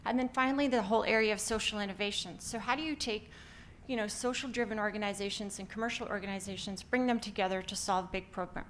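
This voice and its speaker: A woman's voice: mid-range voice, a bit bright, a little hiss or hum. Upbeat Canadian woman presenting. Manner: upbeat, many "um" fillers. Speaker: company founder presenting. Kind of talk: conference presentation.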